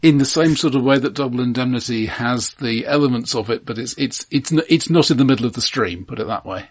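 A man co-hosting a casual film discussion in a measured, analytical way, often repeating words as he frames a point. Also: medium pitch, husky. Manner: measured, analytical, halting repetitions. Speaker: film podcast co-host.

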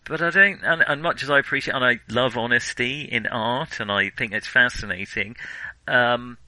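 A man's voice: medium pitch, normal speed, sharp tone, no room sound, poor audio. A man co-hosting a casual film discussion, hesitant as he thinks his way aloud through his opinions. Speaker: film podcast co-host.

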